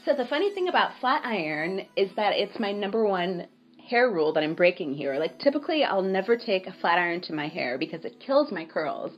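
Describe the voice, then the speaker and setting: A woman talks through a hair tutorial in a relaxed, chatty voice-over, her voice a little raspy and tired from a cold. She sits at an ordinary middle pitch. Music plays clearly beneath her. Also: fairly quick, highs cut off. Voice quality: slightly raspy. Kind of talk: casual voice-over tutorial narration. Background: background music.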